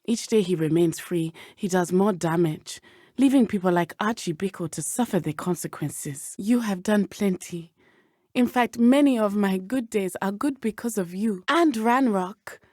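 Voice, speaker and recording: An earnest, loyal teenage witch played by a woman from a fantasy video game. She speaks with a southern African accent at a middling pitch, choosing formal, careful words. The recording is dry and close, with no room sound. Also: moderate pace, a little mellow, no room sound, close to an everyday voice. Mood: defiant, determined, then sorrowful, reflective. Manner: formal, precise phrasing without contractions. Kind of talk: video game character dialogue.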